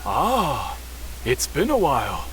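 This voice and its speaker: An actor playing a courteous, solemn swordsmith in a video game, telling clan history in a formal, measured manner. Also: male voice, mid-range voice, huge pitch swings, very noisy. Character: courteous, solemn male swordsmith, keeper of old traditions.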